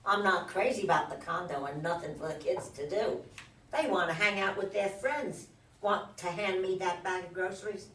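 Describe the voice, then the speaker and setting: A woman reading the part of a fed-up, sharp-tongued wife and mother in an amateur stage play. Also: fairly low pitch, normal speed, close to an everyday voice. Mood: scolding. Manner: scolding, accusatory. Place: indoor room at a live reading. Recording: echoey room, distant mic, faint noise.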